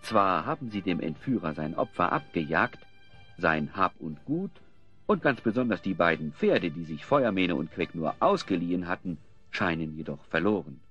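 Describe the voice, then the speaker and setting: A man's voice: deep voice, animated, moderate pace, a bit bright, husky. A man narrating an audio drama as a scripted storyteller. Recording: slightly dull sound, music underneath.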